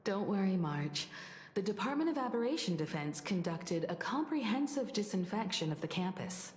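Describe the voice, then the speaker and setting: A woman voicing a serious, composed senior investigator in a fantasy video game, speaking in formal, measured, deliberate lines. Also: medium pitch, big pitch swings, slightly rough. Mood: composed, businesslike. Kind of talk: scripted video game dialogue.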